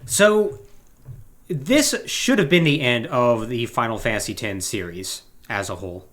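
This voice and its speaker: Animated man with swooping, expressive intonation. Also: medium pitch, measured pace. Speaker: podcast co-host. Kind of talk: casual podcast chat.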